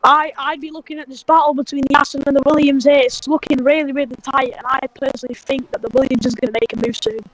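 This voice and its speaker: A man co-commentating a sim race, eager and chatty, rushing and restarting his words. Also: very high-pitched, flat delivery, a bit bright, low-quality recording.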